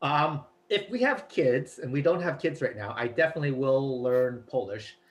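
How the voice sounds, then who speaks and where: A man's voice: medium pitch, animated, normal speed. A man whose first language is Cantonese, chatting as an interview guest and explaining at length with many fillers. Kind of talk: casual interview talk.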